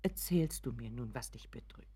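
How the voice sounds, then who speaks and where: A woman's voice: very deep voice, lively intonation, moderate pace, mellow, husky, full character voice. An actress playing an anxious, doting mother in a German radio drama, fussing and apologetic, often dropping to a whisper. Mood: meek, fussing. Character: anxious, doting, pleading mother of grown son.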